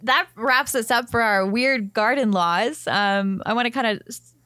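A woman's voice: fairly high pitch, unhurried, sharp tone, no echo, clean studio sound. A woman with a Canadian accent co-hosting a gardening podcast in casual, informal, bubbly chat.

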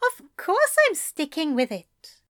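A woman's voice: high voice, big pitch swings, slow pace, fairly bright tone, no echo, studio quality, heavily acted. A woman voicing a prim, contented man who teases his lover in a fan fiction audio drama. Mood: teasing. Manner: prim, playfully indignant.

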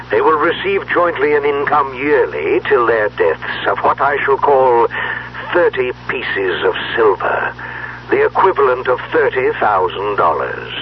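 A man playing a theatrical magician patriarch in a 1970s radio drama, speaking in grandiose, deliberate, formal phrases. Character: dead magician patriarch, theatrical, speaking through a recorded will. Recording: dull noisy old radio recording, low hum.

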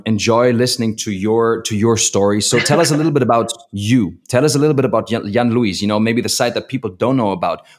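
A man hosting a podcast, eagerly introducing his guest. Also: on the low side. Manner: eager, enthusiastic. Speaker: podcast host.

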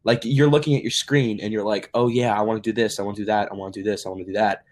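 A man's voice: fairly low pitch, fairly even intonation, racing, darkish tone. A young man hosting a casual podcast, rambling through his thoughts with lots of "like" fillers. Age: young adult. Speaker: podcast host. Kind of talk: casual chat.

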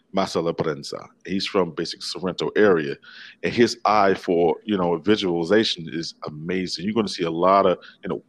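Male filmmaker from D.C., very low voice, chatty and rambling guest. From Washington, D.C. area, USA. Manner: rambling, many fillers and restarts. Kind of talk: casual interview. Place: remote call from Italy.

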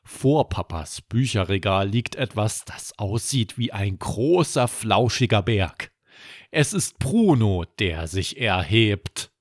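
Slow, expressive male bedtime storyteller. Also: lowish voice, dry recording, studio quality. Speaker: children's story narrator and podcast host. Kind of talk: scripted bedtime story narration.

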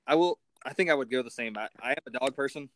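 A man's voice: medium pitch, fast talker, a bit bright, a little hiss or hum. A man hosting a casual interview, informal and a little self-deprecating in the way he talks. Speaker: interview host.

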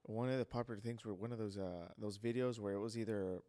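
A man chatting as a podcast guest, mostly in English, who laughs easily and gets animated when telling stories. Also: lowish voice, moderate pace. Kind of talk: casual chat.

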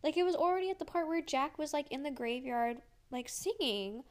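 A young woman with a somewhat high voice chats in a loose, rambling way, dropping "like" into nearly every phrase. Her nose is stuffed up, which gives her voice a congested sound. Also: expressive, a little fast. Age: young adult. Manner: rambling, full of "like", laughs often. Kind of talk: casual vlog chat.

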